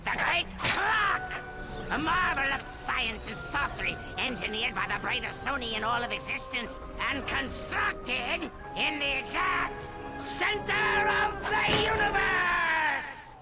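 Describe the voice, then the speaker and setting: An egomaniacal robot villain from a cartoonish video game. The voice is high and gravelly, swinging wildly between slow, drawn-out menace and hysterical shrieking. Loud music plays underneath. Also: male voice, animated, full character voice. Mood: grandiose. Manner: theatrical, ranting, sudden shrieks.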